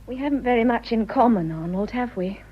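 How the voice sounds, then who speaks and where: Resolute, high-voiced young English wife. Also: female voice, lively intonation, a little fast, breathy edge, highs cut off. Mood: restrained, cool. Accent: British upper-class RP. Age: 20s. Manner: earnest, composed, direct. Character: resolute, frank young upper-class wife of twenty-five. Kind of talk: period radio drama acting.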